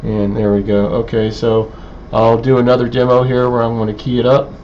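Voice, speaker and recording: Male presenter for an informal technical walkthrough: subdued, even tone, hesitant pacing with pauses, slightly noisy lo-fi sound. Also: medium pitch. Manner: halting, hesitant delivery with many pauses. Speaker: presenter, radio hobbyist. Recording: dull, lo-fi sound with faint background noise.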